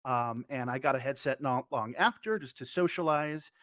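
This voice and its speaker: A man's voice: mid-range voice, normal speed, a bit bright, dry recording. A man who performs and teaches improv, explaining conversationally as a panelist in an online discussion. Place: virtual reality social platform meetup, online. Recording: dull lo-fi online voice chat.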